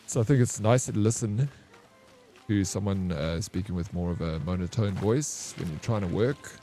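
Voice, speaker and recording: A young man casually commenting on his gameplay as a streamer, with a flat, monotone delivery. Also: low voice, moderate pace, faint music behind.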